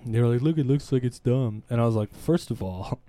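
A man's voice: mid-range voice, fairly quick, dry recording. A young adult man co-hosting a podcast, telling stories in a casual, profane way. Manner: casual, profane, animated storytelling with laughs. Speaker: podcast co-host. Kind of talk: casual chat.

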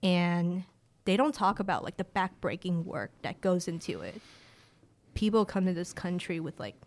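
A woman in her late twenties, whose first language was a Chinese village tongue, chats easily as a guest. Her talk is loose and conversational, dotted with "like" and quick restarts. Her voice sits a little low for a woman. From rural China. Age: late 20s. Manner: relaxed and chatty, with frequent "like" fillers and restarts. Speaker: guest, director of family empowerment. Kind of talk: casual interview conversation.

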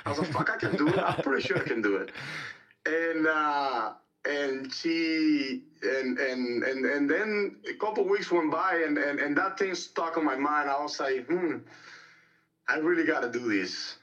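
A man's voice: on the high side, expressive, a little slow, bright, forceful tone. A man from Cuba, a mixed martial arts fighter telling his story in a podcast interview, blunt and rambling, repeating words and swearing freely. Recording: dull lo-fi sound, distant from mic.

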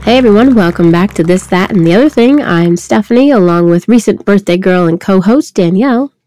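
A woman co-hosting a casual podcast, her voice raspy from a recent cold, chatty and laughing often. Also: mid-range voice, animated, brisk, warm tone, soft background music. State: recovering from a cold. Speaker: podcast co-host. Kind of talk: casual chat.

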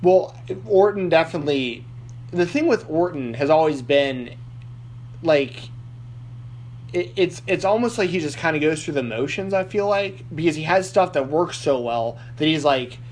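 Man, podcast host, rambling casual chat, fairly high voice, faint hum behind. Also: animated, moderate pace, darkish tone. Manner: rambling, lots of likes and restarts. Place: Nashville.

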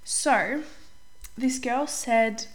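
A young woman hosting a casual solo podcast as a life coach, chatty and upbeat, slightly hoarse while getting over a cold. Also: on the high side, very slow. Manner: chatty, upbeat, says "like" often, clears throat.

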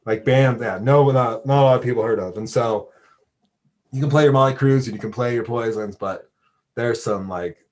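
A man's voice: mid-range voice, moderate pace. A man with an American accent hosting a casual chat interview, talking informally and often interrupting himself as he goes. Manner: informal, rambling, self-interrupting. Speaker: podcast host and radio DJ.

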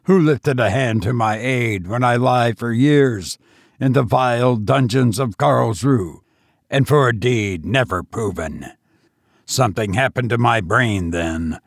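A man's voice: fairly low pitch, gravelly, breathy edge, no room sound, clean studio sound, full character voice. A man voicing an insane, murderous tavern host who escaped from prison, roaring and laughing madly. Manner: roaring, mad mirthless laughter.

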